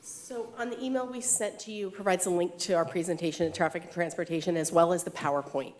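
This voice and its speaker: A woman's voice: lowish voice, fairly even intonation, fast, a little mellow. A woman on city staff answering a committee member's question in a matter-of-fact, precise way at a public meeting. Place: council chamber.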